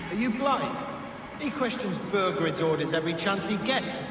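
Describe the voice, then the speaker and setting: A man's voice: sits high, expressive, moderate pace, background noise. A man playing a disgruntled, scornful Saxon soldier in a video game, gossiping bluntly and grumbling. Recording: echoey hall, far from the mic.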